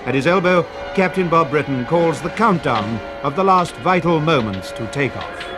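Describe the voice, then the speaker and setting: A man's voice: a little high, expressive, normal speed, close to an everyday voice. A man narrating a 1950s radio space adventure as a grave, declamatory announcer. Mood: solemn, portentous. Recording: old 1950s radio broadcast over music.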